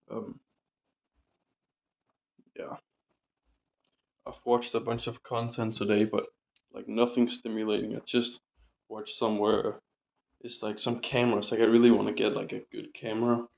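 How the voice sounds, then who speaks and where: A man's voice: fairly low pitch, level tone, unhurried, creaky, long pauses, a little mellow, muffled recording. A young man rambling casually in a diary vlog, full of fillers and casual swearing, his voice still recovering from a sore throat. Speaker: vlogger.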